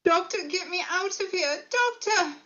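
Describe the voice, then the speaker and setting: A woman's voice: very high voice, animated, moderate pace, bright, forceful tone, muffled recording, full character voice. A woman playing a terrified young woman trapped with a monster, pleading frantically in a script read. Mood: panicked.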